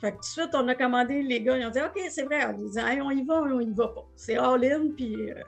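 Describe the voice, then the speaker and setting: A woman's voice: sits high, fast, gravelly, faint music behind. A woman in her sixties with a Quebec French accent, a business co-owner talking plainly in a casual podcast conversation, often restarting her phrases. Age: 60s.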